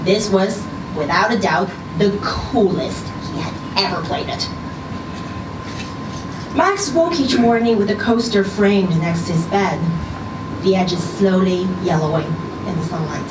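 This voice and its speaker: A woman reading a short story aloud at a live event, with measured, expressive storytelling. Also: mid-range voice. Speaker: story reader. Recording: roomy, distant, noisy live event recording.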